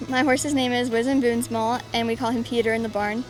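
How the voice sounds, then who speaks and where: Young woman from florida, horse rider, upbeat, a bit high-pitched, clear music behind. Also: little pitch movement, a little fast, low-quality recording. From Central Florida. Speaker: horse show competitor.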